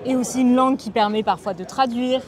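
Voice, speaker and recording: A woman's voice: on the high side, brisk, a crowd in the background. A woman of thirty from Bordeaux who hosts and interviews a guest in a friendly, chatty way, with plenty of fillers. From Bordeaux, France. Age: 30s. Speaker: host. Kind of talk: casual conversational interview.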